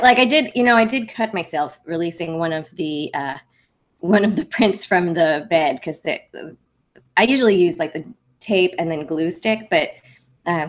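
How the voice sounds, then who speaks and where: A young adult woman hosting a casual maker livestream, speaking softly with lots of ums and likes. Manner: rambling, full of ums and likes; speaks softly and higher-pitched.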